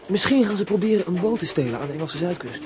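A brisk police officer who rattles off his report quickly. His voice is slightly high, and a crowd murmurs behind him. It is a radio-drama role. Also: animated. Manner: hurried reporting.

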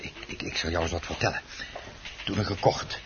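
An actor playing a secretive, henpecked husband in a Dutch radio play, hesitant and often repeating himself. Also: male voice, low-pitched, fairly even intonation, brisk, natural voice. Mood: proudly secretive. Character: secretive henpecked husband obsessed with his rare plant. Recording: dull noisy old radio play recording.

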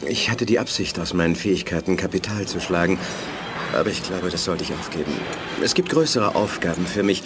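An actor playing an earnest, polite and idealistic telepath in a 1980s German radio play, speaking haltingly. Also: male voice, sits low, lively intonation. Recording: music behind studio radio drama.

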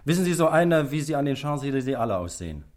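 An actor in a 1960s German radio play, playing an eager, bashful young junior police detective who speaks a little hesitantly. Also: male voice, mid-range voice, very animated, rapid-fire, a little mellow, close to an everyday voice. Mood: eager, pleased. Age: young adult.

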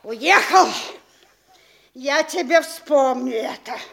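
A shrill nagging mayor's wife. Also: female voice, lively intonation, slow pace, vocal fry, a bit bright, heavily acted. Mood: scolding. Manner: scolding, breathless, shrill exclamations. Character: excitable, nagging mayor's wife, middle-aged and vain. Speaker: actress in a radio play. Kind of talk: classic stage radio acting. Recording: echoey room.

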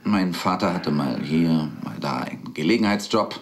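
A man's voice: low voice, moderate pace, slight background noise. An actor playing a weary, melancholic middle-aged dentist in a radio play, confiding hesitantly and with resignation in his rabbi. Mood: bitter, reflective. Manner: hesitant, brooding, resigned, with sighs. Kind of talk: naturalistic radio play dialogue.

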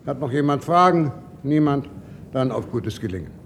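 An actor playing an authoritative expedition leader giving formal, measured instructions in a science-fiction radio drama. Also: male voice, mid-range voice, lively intonation, lightly acted. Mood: official, firm. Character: formal expedition leader briefing his men. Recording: long hall reverb, faint noise.

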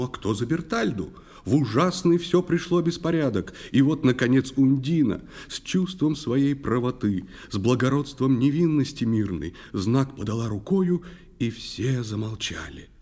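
A man's voice: medium pitch, darkish tone. Male storyteller for audio drama, medium register, dramatic verse delivery with theatrical emphasis. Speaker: narrator. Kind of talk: dramatic verse narration for a children's audio play.

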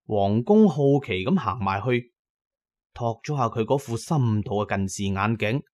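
A male audiobook narrator with a Cantonese accent and a fairly low voice. He reads scripted adventure fiction as a dramatic storyteller. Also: lively intonation, unhurried, a little mellow.

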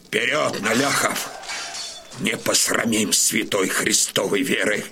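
An actor playing a fierce, grieving old Cossack colonel and father in a theatrical audio drama, declaiming with heavy pauses. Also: fairly low pitch, noisy background. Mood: rousing command. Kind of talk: theatrical radio drama monologue. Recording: studio drama with loud music behind.

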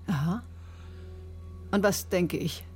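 An actress playing an articulate, quietly insistent woman doctor in a radio drama, precise and questioning. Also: lowish voice, slow pace, soft background music. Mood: probing. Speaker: doctor accused in a criminal case. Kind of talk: German radio drama acting.

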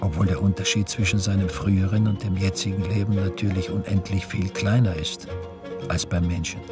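An actor narrating a literary radio play as a sombre labour-camp survivor. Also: male voice, low voice, fairly even intonation, fairly quick, close to an everyday voice. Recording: loud music behind narration.

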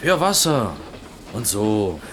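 A man's voice: on the low side, sing-song, slow, a bit bright, slight background noise, exaggerated voice. An actor in a German radio play, playing an earnest, insistent young Mexican potter searching for his cousin. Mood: guarded.

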